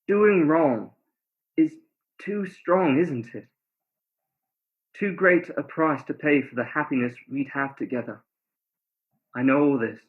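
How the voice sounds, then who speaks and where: Man acting earnest pleading lover, highish voice, radio romance drama, tinny phone-line sound. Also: slow pace, long pauses. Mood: tender, sorrowful. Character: tender, ardent, pleading male lover, a doctor.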